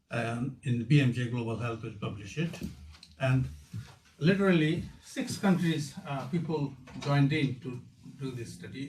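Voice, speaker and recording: A man's voice: mid-range voice, a little hiss or hum. A man from Bangladesh chairing a webinar and giving formal opening remarks, hesitant with many fillers. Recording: echoey room from far off.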